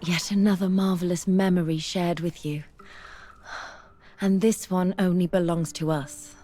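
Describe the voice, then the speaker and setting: An actress playing an earnest, bookish young female scholar in a video game, speaking in a measured, articulate way. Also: on the low side, steady tone, mellow, natural voice. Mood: loving. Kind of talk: video game dialogue acting. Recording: music behind the voice.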